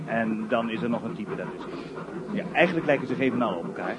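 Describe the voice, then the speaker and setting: An actor playing a jovial, easygoing schoolteacher who lectures a group of youngsters in a Dutch radio play. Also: male voice, mid-range voice, animated, fast talker, noisy background, lightly acted. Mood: jovial lecturing. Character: jovial, easygoing male schoolteacher leading a class outing.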